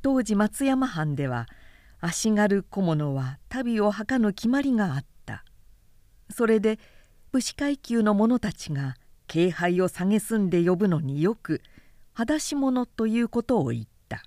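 A woman's voice: medium pitch, very animated, a little slow, warm tone, dry recording. Female literary narrator, dry close sound.

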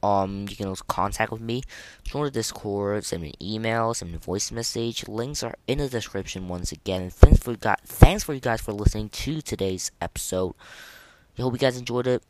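A man hosting a casual gaming podcast, talking in a halting way and often repeating words as he restarts. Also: fairly low pitch, darkish tone, no room sound, rough recording. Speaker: podcast host.

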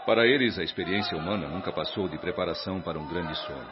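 A man acts out a solemn Brazilian spirit instructor in a radio drama. His voice sits very deep, and he explains with grave, measured authority. The sound is slightly dull and lo-fi. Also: a crowd in the background, close to an everyday voice. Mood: grave, instructive. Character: calm, stern male spirit instructor, mature and didactic.

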